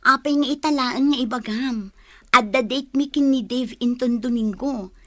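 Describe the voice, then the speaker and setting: Woman acting a high-voiced Filipino sweetheart. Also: moderate pace, heavy background noise, natural voice. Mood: friendly. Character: young woman in love, trusting and stubbornly loyal. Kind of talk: radio drama acting.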